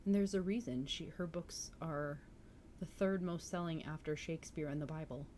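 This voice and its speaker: A woman's voice: low voice, fairly even intonation, moderate pace, dark tone, slight background noise. A woman chatting casually and enthusiastically as host of a book-reading livestream, responding to viewers as she goes. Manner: chatty, enthusiastic, reacting to chat comments.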